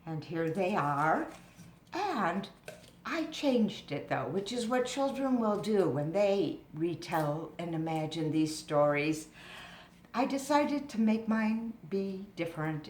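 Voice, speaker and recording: A woman giving an informal craft demonstration with storytelling, explaining things step by step to parents in a measured way. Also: on the low side, animated, a little mellow. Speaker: library craft presenter. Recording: roomy echo with noticeable background noise.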